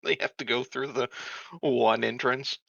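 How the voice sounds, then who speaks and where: Male tabletop player, strikingly sharp, bright tone, casual talk, dry close mic. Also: mid-range voice, steady tone, unhurried, creaky, slightly rough, dry recording, lo-fi sound. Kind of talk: casual tabletop game chat.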